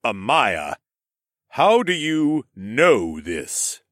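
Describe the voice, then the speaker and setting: A man's voice: medium pitch, big pitch swings, extremely slow, fairly bright tone, no room sound, pitched above the performer's own voice, exaggerated voice. A man voicing a confident, wry lord and teasing big brother in a fantasy audiobook. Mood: questioning.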